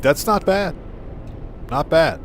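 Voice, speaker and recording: A man's voice: fairly high pitch, expressive, takes it slowly, slight background noise. A man giving casual live game commentary, thinking aloud step by step and chatting with his viewers. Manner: talks to himself step by step and addresses chat. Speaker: game streamer, host.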